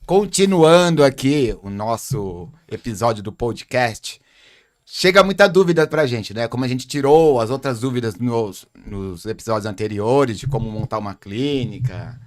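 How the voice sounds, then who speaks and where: A man's voice: medium pitch, expressive, moderate pace. Conversational Brazilian man hosting a podcast. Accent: Brazilian Portuguese. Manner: conversational, poses rhetorical questions, tag "né". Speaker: podcast host, acupuncturist. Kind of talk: casual podcast chat.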